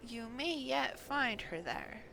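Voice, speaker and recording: A woman's voice: high-pitched, big pitch swings, takes it slowly, gravelly, background noise, heavily acted. A woman voicing a courtly, observant game character with formal, flowery phrasing. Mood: courteous, wistful. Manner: formal, flowery, gently boastful. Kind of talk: game dialogue read aloud in character.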